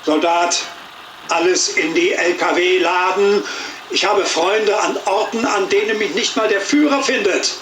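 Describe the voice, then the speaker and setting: An actor playing a bitter German officer fleeing, barking orders in German, in an echoey space. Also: male voice, sits high, unhurried, fairly bright tone. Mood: furious. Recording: echoey with faint noise.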